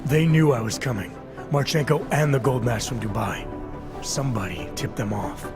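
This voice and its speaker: An actor playing a stoic, defiant special agent in a video game, speaking in a terse, dry, controlled manner. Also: male voice, medium pitch, moderate pace, close to an everyday voice. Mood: accusing. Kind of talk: cinematic video game acting. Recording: game soundtrack music behind.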